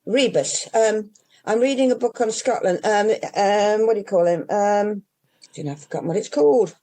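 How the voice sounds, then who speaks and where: A woman's voice: mid-range voice, normal speed, warm tone. An older English woman who runs a bookshop, chatting in an interview and rambling through restarts and asides. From England. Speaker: independent bookshop owner. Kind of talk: casual interview chat.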